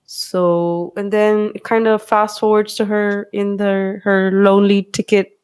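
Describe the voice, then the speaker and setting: Woman podcast host, mid pitch, chatty recap with hesitant fillers. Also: little pitch movement, measured pace, darkish tone, smooth. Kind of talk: casual movie recap.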